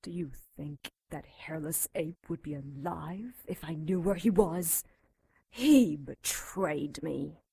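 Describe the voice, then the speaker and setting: A woman's voice: low voice, slow, vocal fry, mellow, breathy edge, no echo, heavily acted. An actress playing a captive female demon in an audio drama, seductive, sardonic and defiant, with a theatrical, taunting delivery. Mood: desperate defiance.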